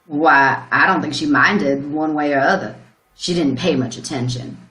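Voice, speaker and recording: Woman acting as country farmer, low pitch, rambling folksy delivery, radio play, roomy echo. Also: moderate pace, a bit bright. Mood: folksy, puzzled. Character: plain-spoken rural male farmer recounting a shock. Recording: roomy, echoing sound.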